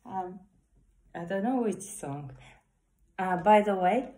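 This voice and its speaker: A woman in her thirties with a strong Japanese accent, slowly reading love affirmations to a phone camera in a small room, with earnest, halting English and frequent self-corrections. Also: mid-range voice, expressive, dark tone. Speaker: saxophonist vlogger. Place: corner of a small room indoors. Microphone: phone camera at arm's length.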